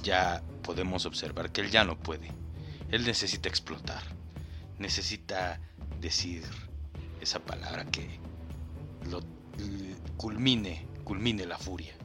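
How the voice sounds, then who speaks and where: A man's voice: deep voice, measured pace, pauses often, music in the background. A man hosting a podcast, playfully and mock-solemnly commenting on clips and speaking directly to the listener. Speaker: podcast host.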